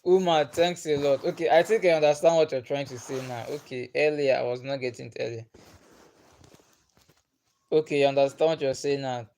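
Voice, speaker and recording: A man's voice: medium pitch, fairly even intonation, a little fast, darkish tone. Man chatting on a livestream. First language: Igbo. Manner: patient, repeats himself, chuckles often. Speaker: livestream host. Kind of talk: casual livestream chat reading comments.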